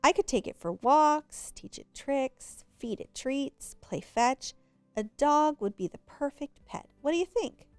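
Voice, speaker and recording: A woman's voice: sits high, lively intonation, a little slow, no echo, faint music behind, pitched above the performer's own voice. A woman voicing an eager little girl pleading for a pet in a storybook.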